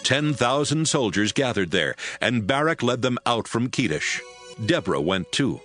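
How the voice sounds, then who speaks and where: A man narrating scripture in a steady, measured storytelling voice. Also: medium pitch. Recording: narration over background music.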